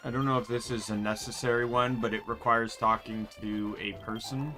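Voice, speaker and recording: A man's voice: mid-range voice, flat delivery, a little fast, bright, forceful tone. A man streaming a video game with casual commentary. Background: game music.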